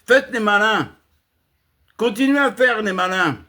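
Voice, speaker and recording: A fairly high-voiced man holds forth in a heated monologue for his channel. He lurches between emphatic, raised bursts and low, muttered asides. His sentences often stop and restart as he hunts for the point. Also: measured pace, bright, forceful tone. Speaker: channel host giving a monologue. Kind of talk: impassioned spoken rant.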